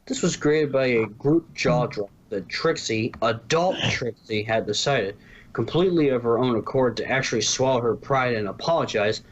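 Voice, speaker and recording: A man's voice: mid-range voice, moderate pace. A man reading a scripted fan fiction story as its narrator. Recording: dull lo-fi, faint background noise.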